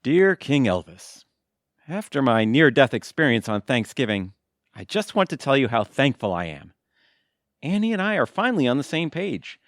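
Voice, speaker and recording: A man playing an affable, goofy middle-aged husband in an audio drama, with a folksy, animated way of talking. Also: mid-range voice, normal speed, dry recording, clean studio sound, close to an everyday voice. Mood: sincere, grateful. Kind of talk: audio theatre acting.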